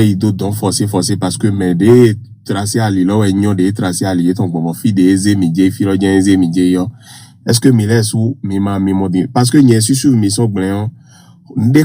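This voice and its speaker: A man phoning in to a live show, hoarse from a cold, speaking as a Goun speaker, rambling and halting with many fillers. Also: on the low side, mellow, no echo. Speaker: caller.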